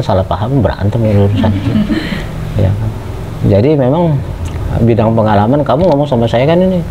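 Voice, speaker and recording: A middle-aged man giving a casual lecture to students, talking conversationally with many hesitant fillers and putting questions to the class. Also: fairly low pitch. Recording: muffled with steady background noise.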